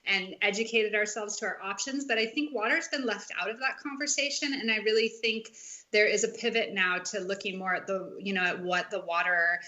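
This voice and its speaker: A woman's voice: medium pitch, fairly quick, sharp tone, highs cut off. A woman from Hawaii, a guest on a podcast, talking casually and rambling with lots of fillers. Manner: chatty, rambling with many fillers. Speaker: podcast guest, spring water business owner. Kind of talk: casual interview conversation.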